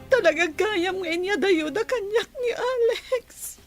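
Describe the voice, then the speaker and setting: A woman's voice: very high-pitched, animated, unhurried, faint music behind. A woman playing a heartbroken young daughter in a radio drama, plaintive and tearful, with a Filipino accent. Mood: tearful, pleading.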